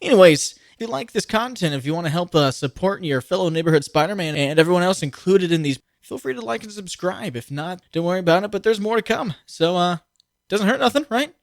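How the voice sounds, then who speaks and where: A channel host chats casually in an in-character outro. The voice is mid-pitched and dryly recorded, with a jokey, self-effacing, slightly hesitant manner. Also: animated, fairly quick, no room sound, clean studio sound.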